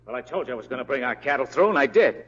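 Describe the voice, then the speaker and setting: Husky, hot-headed Western cattleman. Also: medium pitch, animated, very fast, a bit bright. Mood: defiant. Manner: defiant, blunt, rustic drawling grammar. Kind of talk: 1940s radio drama acting. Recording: muffled vintage radio recording.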